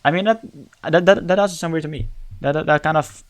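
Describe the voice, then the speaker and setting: A man whose first language is Dutch speaks English with a Dutch accent in casual livestream chat. He talks haltingly, searching for words and often restarting. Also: on the high side. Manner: halting, searching for words, many repeated starts.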